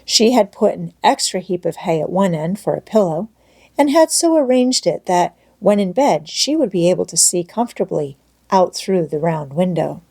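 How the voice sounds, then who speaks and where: Woman reading a storybook audiobook, mellow n warm tone, measured, expressive. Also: lowish voice, slightly rough. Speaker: audiobook narrator. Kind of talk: scripted storybook narration.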